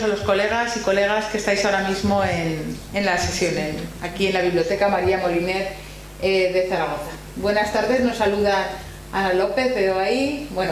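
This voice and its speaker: Seminar host: woman, accent from Spain, formal read-out introduction with some hesitation, recorded in a reverberant, humming room. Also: medium pitch. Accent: Spanish from Spain. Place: university library room. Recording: very echoey room, faint hum and noise.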